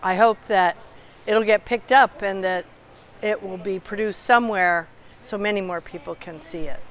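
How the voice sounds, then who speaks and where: A woman's voice: mid-range voice, normal speed. A woman audience member giving a casual testimonial. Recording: faint background noise.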